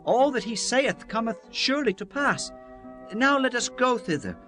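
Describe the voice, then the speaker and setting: An eager young servant, acted by a man in a biblical audio drama, pitched very high with a bright, forceful edge. He is earnest and emphatic, and his speech swings widely up and down over soft music. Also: animated, normal speed, exaggerated voice. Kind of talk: dramatized scripture reading.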